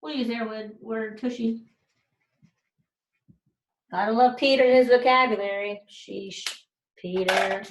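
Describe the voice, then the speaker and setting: A woman with a slightly high voice reads aloud and comments as a teacher would for children. She is expressive and puts weight on key words. The sound is distinctly roomy. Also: a little slow, pauses often.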